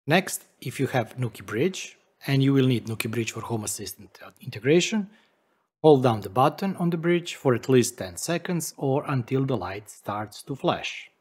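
A man's voice: medium pitch, lively intonation, moderate pace, breathy edge. A man in his forties, a non-native English speaker, explaining a tech tutorial emphatically as a video host. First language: not English. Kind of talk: tutorial narration to camera.

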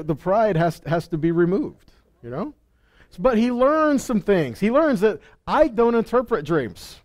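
A man in his thirties teaches scripture from a stage, with a fairly high voice. He talks casually, often asks his listeners questions and acts out the people he quotes. He speaks into a headset mic. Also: a little mellow. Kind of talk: Bible teaching. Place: stage with purple lights.

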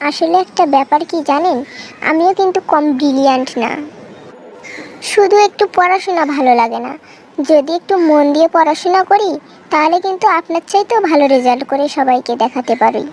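A woman's voice: high-pitched, fairly quick, darkish tone, slight background noise. A woman voicing a cheeky, defiant young village girl in a cartoon, talking back with a Bangladeshi accent. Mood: boastful, indignant. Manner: sassy, argumentative back-talk. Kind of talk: amateur cartoon dialogue.

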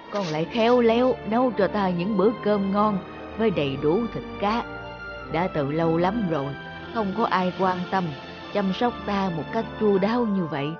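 A woman playing a kind, lonely old village woman in a cartoon folk tale, speaking gently. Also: mid-range voice, muffled recording, natural voice.

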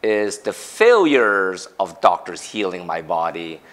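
A man's voice: deep voice, very animated, slow, a bit bright. A middle-aged man from Hong Kong, a company CEO, giving easygoing explanatory answers in a studio interview on a lapel mic. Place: TV studio set.

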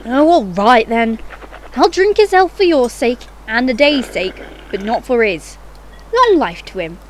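A woman's voice: fairly high pitch, sing-song, a little slow, slight background noise. A woman playing a tart, sarcastic and indignant wife in an audio play. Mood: grudging, sarcastic. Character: sharp-tongued, indignant wife, grudging but loyal.

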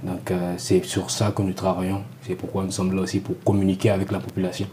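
A young man with a low, dark voice and an even, level delivery speaks into a lapel mic in a small TV studio. He answers in a measured way, often restating his points, and a faint ambience sits behind him. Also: a little hiss or hum. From Republic of the Congo. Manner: measured, repeats and rephrases. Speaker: graduate student, committee volunteer. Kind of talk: studio TV interview.

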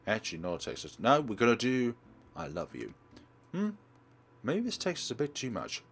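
A man's voice: mid-range voice, huge pitch swings, normal speed, breathy edge. A man narrating a game playthrough, reading visual novel text aloud.

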